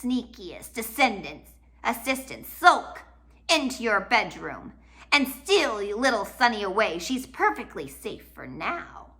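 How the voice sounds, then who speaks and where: Storybook villain, played by a woman: a scheming man, animated swings in pitch, drawn-out taunts, false kindness. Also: heavily acted. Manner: mock-kindly, taunting, drawn-out delivery.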